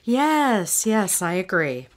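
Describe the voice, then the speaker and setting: A woman's voice: medium pitch, sing-song, slow. Rich snobby lady in a radio play, theatrical and scornful, voice goes up and down a lot. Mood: eager, polite. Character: haughty, sardonic wealthy woman with smug disdain. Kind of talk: old-time radio drama acting.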